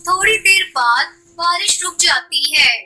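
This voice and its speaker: Very high, bright female story narrator. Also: animated, a little slow. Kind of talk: scripted children's story narration.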